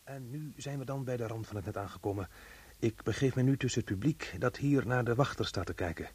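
An actor playing a chummy, unctuous radio reporter doing mock live commentary in a radio drama. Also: male voice, mid-range voice, fairly quick, darkish tone, husky, lightly acted. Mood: hushed commentary. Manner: cheerfully unctuous, chatty. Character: smarmy, chummy male radio reporter at a grim spectacle.

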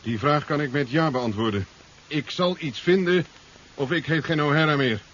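An actor playing a thoughtful, self-assured male scientist in a 1970s radio drama, explaining in a deliberate manner. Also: fairly high pitch, fairly bright tone, close to an everyday voice. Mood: resolute, helpful. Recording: muffled radio recording with faint hiss.

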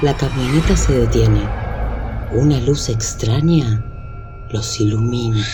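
A woman narrating a scripted radio drama with measured storytelling delivery. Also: rumbling low, over music.

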